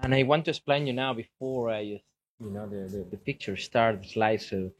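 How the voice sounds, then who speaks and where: A man's voice: on the low side, steady tone, brisk. A man from Spain and Uruguay with a Spanish accent, a native Spanish speaker, giving an informal slideshow talk as a documentary photographer, often repeating words and restarting his sentences. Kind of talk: informal slideshow presentation to an audience.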